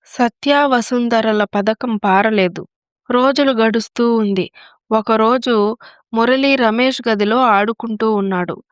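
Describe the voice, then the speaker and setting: A woman's voice: fairly high pitch, takes it slowly, smooth, dry recording, studio quality. A woman narrator telling a scripted moral story.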